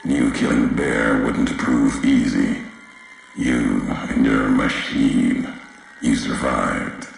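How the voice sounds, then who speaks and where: A vengeful, history-haunted man of the wasteland for a video game. His voice is extremely low and he speaks slowly, in cryptic fragments with heavy pauses. Every phrase rings with deep echo. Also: steady tone, full character voice. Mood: solemn, probing. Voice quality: very deep, gravelly. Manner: cryptic, deliberate, clipped phrases with weighty pauses. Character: brooding, vengeful male wasteland wanderer obsessed with history. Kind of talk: slow brooding game dialogue monologue. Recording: heavily echoing voice, faint background noise.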